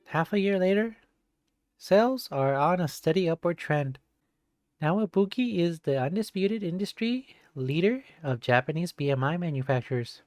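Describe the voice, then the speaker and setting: A streamer gives an expressive read-aloud of a visual novel. The voice sits at a medium pitch, with game music clearly playing behind it. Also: normal speed, no room sound, clean studio sound.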